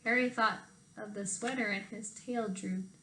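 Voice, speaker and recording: A woman reading a picture book aloud to children in an animated, expressive storytime style. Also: mid-range voice, unhurried, slight background noise. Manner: expressive, emphasizes phrases for children. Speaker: storytime reader for children, teacher.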